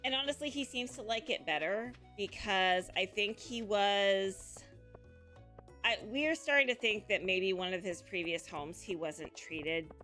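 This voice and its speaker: A woman streamer from the Pacific Northwest chats while she plays, her tone bright and forward at a middling pitch. She rambles at an easy pace, filling gaps with ums, over faint game music. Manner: unhurried, rambling, many ums. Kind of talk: casual chat while gaming.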